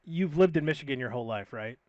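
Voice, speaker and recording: A man's voice: medium pitch, expressive, fast, darkish tone. A man hosting a casual podcast chat, easygoing and agreeable, stumbling a little as he starts sentences. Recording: dull dry lo-fi audio.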